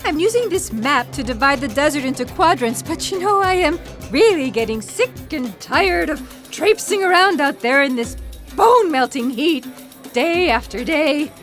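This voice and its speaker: An actress plays a spirited woman paleontologist in a radio adventure. Her high voice swoops around as she teases and complains. Faint music plays behind her. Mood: exasperated. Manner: animated, complaining, quick to laugh. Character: exasperated, teasing woman paleontologist on desert search. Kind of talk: audio theatre acting.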